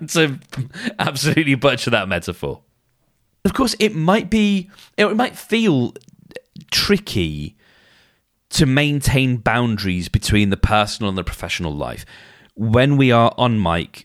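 Hoarse man chatting on a podcast. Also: on the low side, a bit bright, no room sound, studio quality. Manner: chatty, thinking aloud with frequent restarts. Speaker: podcast host. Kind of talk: casual solo podcast advice.

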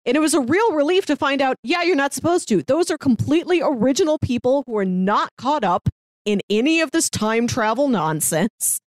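A woman's voice: high voice, animated, brisk, dry recording. A woman co-hosting a podcast, chatting casually and explaining her take on a show with emphasis. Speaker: podcast co-host. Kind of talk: casual TV discussion chat.

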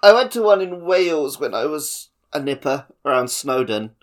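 A man's voice: fairly high pitch, very animated, normal speed. A man chatting casually as a podcast co-host. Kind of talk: casual chat.

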